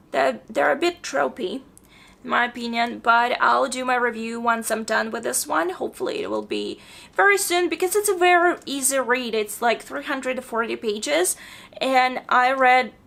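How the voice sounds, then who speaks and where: Woman, book vlogger, casual chat, losing her voice, crackly fry, sharp bright tone. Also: high voice. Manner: chatty, with frequent "like" and "um". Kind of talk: casual book review vlog. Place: home apartment.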